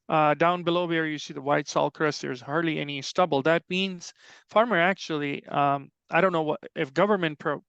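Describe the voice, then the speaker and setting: A middle-aged man with a Pakistani accent presents technical material conversationally. His voice is smooth yet creaky at the edges and sits a little high. The webinar audio is dry, with little room sound. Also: fairly bright tone, no room sound, muffled recording. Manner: explanatory, conversational asides. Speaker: extension soil health specialist, presenter. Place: online webinar call.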